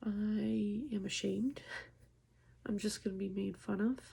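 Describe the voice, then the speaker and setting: Woman, early 30s, husky and soft-edged, for an unhurried, candid, self-deprecating vlog monologue. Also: mid-range voice, fairly even intonation. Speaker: nurse and vlogger. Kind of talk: casual personal vlog monologue.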